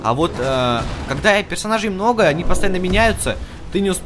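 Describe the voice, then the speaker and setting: A man's voice: high voice, expressive, fairly quick. A man giving casual, chatty gameplay commentary, thinking aloud and talking to the characters on screen as he plays. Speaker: video game let's-play host. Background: video game music.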